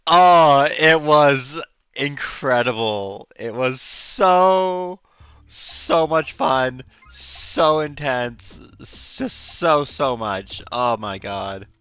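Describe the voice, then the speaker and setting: A man with a hoarse, nearly gone voice, chatting casually and effusively on a game livestream. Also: mid-range voice, fairly bright tone, dry recording, dull audio. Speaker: game streamer.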